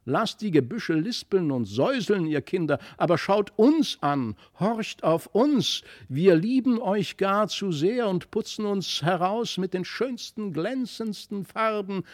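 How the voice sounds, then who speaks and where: A man's voice: high voice, expressive, unhurried, hardly any pauses, dry recording, studio quality, pitched above the performer's own voice. A man voicing vain, dainty storybook flowers, jealous and sweetly pleading for attention. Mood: coaxing, vain.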